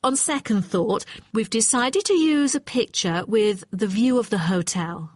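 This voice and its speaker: A woman's voice: medium pitch, animated, measured pace, darkish tone, slightly rough, no echo. A woman playing an exacting, matter-of-fact hotel client in a scripted listening-test dialogue. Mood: businesslike, firm. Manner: formal, precise, matter-of-fact. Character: brisk, exacting female hotel client requesting brochure revisions.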